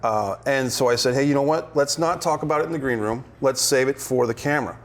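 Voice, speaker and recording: A man hosting and directing a casual table-read discussion, conversational and halting, repeating words as he thinks. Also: mid-range voice. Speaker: host and director.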